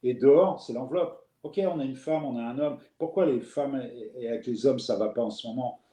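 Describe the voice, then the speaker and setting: A man's voice: mid-range voice, brisk, mellow. A man with a Parisian French accent hosting a live chat, talking in a rambling, reflective way with many fillers and restarts. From France. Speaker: host. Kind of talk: casual live conversation. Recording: roomy echo.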